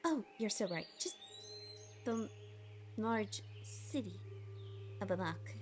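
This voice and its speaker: A woman's voice: medium pitch, animated, slow pace, faint music behind. A woman narrating a fantasy audio drama in a playful way, breaking off for asides.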